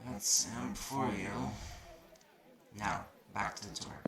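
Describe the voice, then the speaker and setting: A haughty male magic-university guide, acted by a man in an audio roleplay, speaks in a lowish, creaky voice. He explains things curtly and looks down on the newcomer. Heavy noise runs through the recording. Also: animated, takes it slowly, reverberant, a loud crowd, full character voice. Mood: haughty, explanatory. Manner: condescending, curt, explanatory. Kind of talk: audio roleplay acting addressed to the listener. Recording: noisy recording with clicks.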